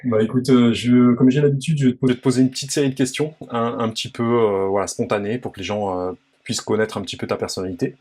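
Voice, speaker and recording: A young man hosts an interview in a mid-pitched, friendly voice. He asks his questions with many pauses and filler sounds. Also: fairly even intonation, a little mellow, breathy edge. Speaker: interview host. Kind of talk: quick-fire interview questions.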